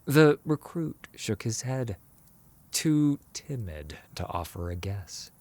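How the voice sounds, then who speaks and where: A man's voice: fairly low pitch, big pitch swings, slow pace, darkish tone, no echo. A man narrating a scripted fantasy story as a storyteller.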